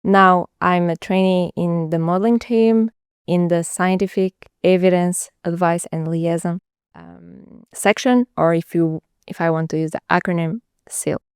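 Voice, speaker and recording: A woman's voice: on the low side, steady tone, measured pace, smooth, dry recording, studio quality. A woman in her twenties from Portugal, introducing herself plainly with a few hesitant ums. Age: 20s. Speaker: guest.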